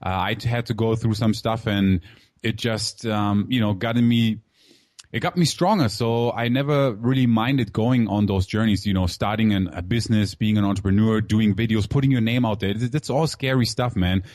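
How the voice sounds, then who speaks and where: A man's voice: lowish voice, steady tone, normal speed, darkish tone, no room sound, studio quality. A man who grew up in Germany, in his thirties, telling his life story in a casual interview with many fillers and an open, candid way of talking. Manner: candid, filler-heavy, calls host "man". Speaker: guest entrepreneur.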